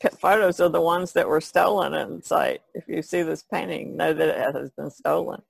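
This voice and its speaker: A woman chats informally as a podcast panelist. There is a marked creak in her voice, and the sound is close and dry. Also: low voice, fairly even intonation, moderate pace, no room sound. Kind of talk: casual chat.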